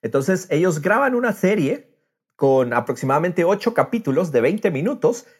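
Un hombre que conduce un podcast de charla informal, contando las cosas con animación y entusiasmo. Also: male voice, a little high, sing-song, normal speed, husky. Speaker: podcast host. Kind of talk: casual podcast interview.

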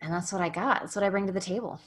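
A woman in her thirties, a podcast guest talking casually, bubbly and self-deprecating with quick asides. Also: on the low side. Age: 30s. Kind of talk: casual interview conversation.

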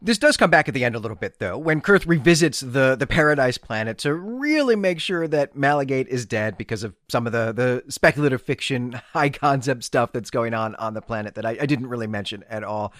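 A man's voice: mid-range voice, lively intonation, a little fast, hardly any pauses, fairly bright tone, no room sound. A man hosting a podcast, giving literary commentary in an emphatic, enthusiastic way with conversational asides. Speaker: podcast host. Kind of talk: literary review commentary, semi-scripted.

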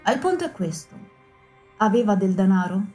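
Brief: a woman voicing a composed country doctor, measured and dry, mid pitch, faint music behind. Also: lightly acted. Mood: calm. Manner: calm, reasoned, dry. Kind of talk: audiobook character voice.

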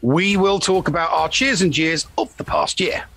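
A man's voice: a little high, moderate pace, a bit bright. A man hosting a podcast in a casual, chatty style. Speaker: podcast host.

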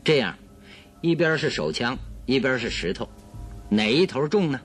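A man's voice: mid-range voice, sing-song, moderate pace, husky, soft background music. An actor playing a confident male detective in a radio drama, explaining deductions in a theatrical, self-assured way. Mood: showmanlike.

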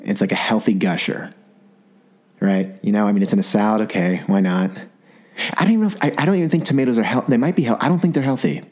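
A man with a very low, husky voice, roughened by a sore throat. He hosts his own podcast as a young adult, spinning a rambling comic monologue. He trips over his words and restarts often, with animated swings up in pitch. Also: fast. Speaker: podcast host. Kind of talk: comedic solo monologue.

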